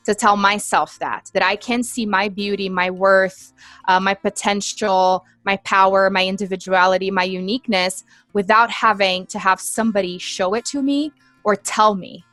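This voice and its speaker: A woman's voice: medium pitch, fairly bright tone, no room sound. A woman, speaking English as a guest on an interview show, telling her story in an earnest, reflective way. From Brazil. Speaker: guest, empowerment coach. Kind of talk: interview conversation.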